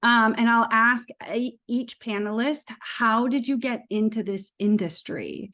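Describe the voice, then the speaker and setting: A woman's voice: a little high, measured pace, a bit bright. A woman with an American accent moderating an online panel in an informal, conversational way. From United States, Indiana. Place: virtual event video call.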